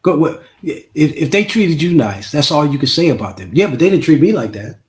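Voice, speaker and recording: A man's voice: a little high, sing-song, a little fast, darkish tone. A man, a musician guest on an interview podcast, talking emphatically, repeating himself and checking with "right?". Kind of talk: casual interview chat.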